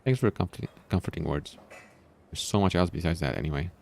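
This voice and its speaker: A man reading the lines of a wry, defiant high-school boy, the hero of a visual novel. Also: fast talker, gravelly, a little mellow. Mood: glum, wry.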